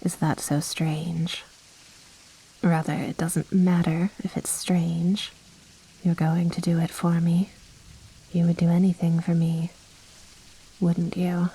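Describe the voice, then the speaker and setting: A woman playing a commanding, possessive vampire noblewoman in an intimate ASMR roleplay, speaking in breathy whispers with a haughty, coaxing, softly menacing manner. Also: on the low side, level tone, takes it slowly, long pauses, full character voice, poor audio.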